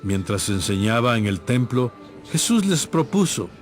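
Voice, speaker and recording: Medium-pitched male scripture narrator over music. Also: very animated, a little slow. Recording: music playing behind.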